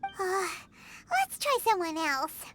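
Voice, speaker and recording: Squeaky little perky companion girl. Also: very animated, slow, over music, exaggerated voice. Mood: eager. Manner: exclamatory, cheeky. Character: tiny high-voiced perky childlike floating companion girl. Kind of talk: anime-style game voice acting.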